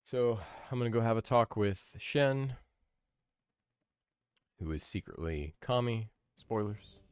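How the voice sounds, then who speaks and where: Deep-voiced man chatting over a game. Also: expressive, slow, darkish tone, smooth, no room sound, studio quality. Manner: musing, opinionated fan chat. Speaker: streamer, podcast host. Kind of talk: casual game stream commentary.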